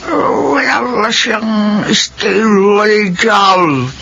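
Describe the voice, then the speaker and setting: Slow-speaking, ailing grateful mother. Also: mid-range voice, creaky, pushed and bright, soft background music, heavily acted. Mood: grateful, weary. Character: grateful, ailing middle-aged mother, heart patient. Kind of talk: Filipino radio drama acting. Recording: dull radio recording, faint noise.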